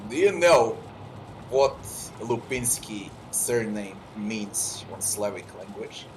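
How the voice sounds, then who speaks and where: Slow-talking man narrating a game. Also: fairly low pitch, lively intonation, noisy background. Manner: musing, talks to himself. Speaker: gamer. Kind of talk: let's play commentary.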